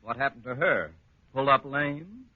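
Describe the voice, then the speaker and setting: A man's voice: mid-range voice, lively intonation, a little slow, breathy edge. A man acting a pompous, irascible middle-aged school principal with blustering delivery in a 1940s radio comedy. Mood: gruff, impatient. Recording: dull, very noisy 1940s radio recording.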